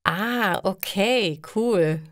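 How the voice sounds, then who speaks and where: A woman's voice: mid-range voice, extremely slow, fairly bright tone, no room sound, studio quality. A woman voicing an easygoing young male tourist in a story, enthusiastic and casual. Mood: eager.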